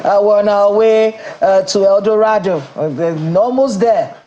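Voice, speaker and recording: Mellow-voiced morning show host. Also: mid-range voice, measured pace, muffled recording. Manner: conversational, lively questioning. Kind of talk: morning TV interview. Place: TV studio.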